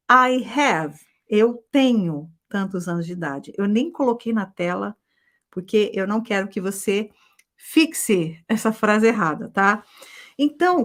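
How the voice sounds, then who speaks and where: A woman in her forties from Brazil, teaching a live class with a lively manner, often ending phrases with a quick check and having students repeat after her. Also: on the high side. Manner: lively, ends phrases with a checking tag, drills repetition. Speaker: English teacher. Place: abroad in Europe.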